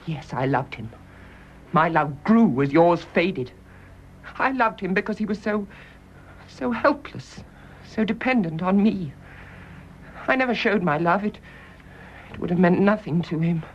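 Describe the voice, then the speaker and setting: An actress playing a bitter, righteous British nurse in a radio drama, intense and indignant, with clipped delivery. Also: female voice, lowish voice, lively intonation, measured pace, pauses often, a little hiss or hum. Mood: passionate, wounded. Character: bitter, accusing private nurse, fiercely devoted and righteous. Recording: dull, muffled radio drama recording.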